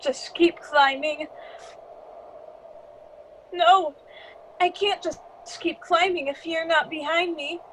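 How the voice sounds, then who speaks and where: A woman's voice: extremely high pitch, steady tone, takes it slowly, long pauses, fairly bright tone, slight background noise, full character voice, low-quality recording. A woman playing a desperate, devoted mythical musician in a melodramatic radio drama.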